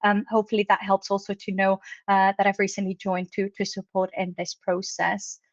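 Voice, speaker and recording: A woman speaking on a webinar panel, friendly and fluent, often pausing on small fillers. Her voice comes over a dull video-call line in a dry, close room. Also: mid-range voice, little pitch movement, no room sound. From Luxembourg. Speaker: HR recruitment officer, webinar panelist. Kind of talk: online panel talk.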